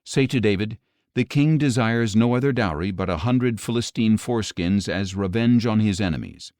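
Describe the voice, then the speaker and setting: A man reading as a low-voiced jealous king. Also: normal speed, darkish tone, no echo, studio quality, close to an everyday voice. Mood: commanding. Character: jealous, scheming adult male king of ancient Israel.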